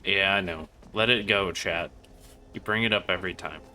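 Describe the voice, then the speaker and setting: A man doing casual livestream commentary over a game, rambling along in a deadpan, joking way. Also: deep voice, moderate pace. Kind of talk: casual gameplay stream commentary.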